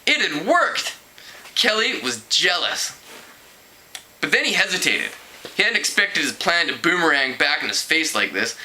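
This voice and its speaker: A man narrates a storybook aloud in an expressive amateur style. His voice is fairly high and very bright, with a forceful edge and a mock-dramatic delivery. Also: a little hiss or hum, rough recording. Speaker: amateur book reader.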